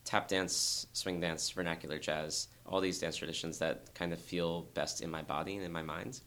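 Very low gravelly young man. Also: steady tone, normal speed, fairly bright tone. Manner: thoughtful, earnest, frequent restarts. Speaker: dancer guest. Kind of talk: reflective interview talk.

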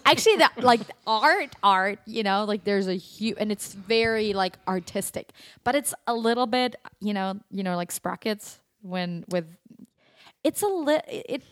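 A woman's voice: mid-range voice, expressive, a little slow, fairly bright tone, no room sound, studio quality. A young adult woman from Germany, a native German speaker with a mostly American accent, chatting casually as an actress guest, with frequent fillers and laughs. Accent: American with slight German. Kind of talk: casual interview chat.

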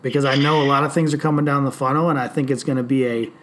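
A man in his late thirties talking casually on a podcast, animated and swearing freely as he tells stories. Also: mid-range voice, rapid-fire, noisy background. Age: 30s. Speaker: podcast host.

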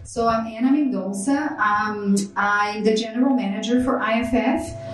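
Middle-aged Brazilian-accented woman, panel guest, halting delivery, very echoey venue. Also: a little high, faint music behind. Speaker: panelist, general manager. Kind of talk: live panel talk. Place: live event space. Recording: echoey room sound.

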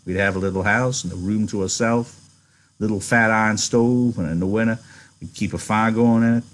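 A man's voice: lowish voice, fairly even intonation, a little fast, natural voice. A man voicing a ranch hand who describes a dream farm in folksy rural speech. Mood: dreamy. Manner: folksy, rural turns of phrase. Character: wiry itinerant ranch hand, dreamy but guarded.

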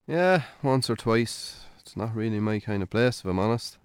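An actor playing a troubled, dry-witted Irish farmer in a naturalistic radio drama, understated in manner. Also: male voice, on the low side, lively intonation, moderate pace, clean tone, dry recording, lightly acted. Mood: subdued.

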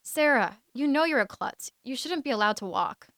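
A woman's voice: mid-range voice, takes it slowly, fairly bright tone, clean tone, no echo, studio quality. A woman voicing a seventeen-year-old boy who jeers to hide his feelings. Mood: teasing. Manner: jeering, hides feelings. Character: teenage boy of seventeen, gruff teaser.

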